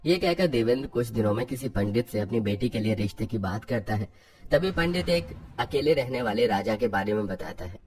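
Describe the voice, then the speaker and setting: A man narrating a scripted story as the narrator. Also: lowish voice.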